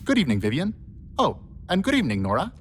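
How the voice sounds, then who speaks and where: A woman's voice: low-pitched, wildly swooping pitch, fast talker, faint music behind. A woman playing a courteous, literal-minded spaceship robot helper in a radio play, speaking formally and politely. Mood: polite, helpful.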